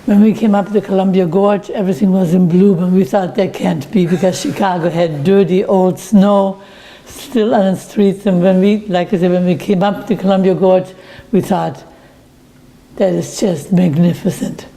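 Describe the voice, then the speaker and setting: A woman's voice: fairly low pitch, fairly even intonation, moderate pace, warm tone, low-quality recording. An older woman from Germany, a winery owner, telling in a plain, storytelling way how she came to America. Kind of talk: oral history interview.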